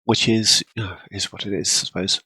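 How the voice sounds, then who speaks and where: A man hosting a gaming channel, congested from a cold and clearing his throat often, rambling casually through his gameplay. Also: low-pitched, fairly even intonation, moderate pace, bright, forceful tone, no echo, rough recording. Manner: rambling, self-deprecating, frequent throat clearing.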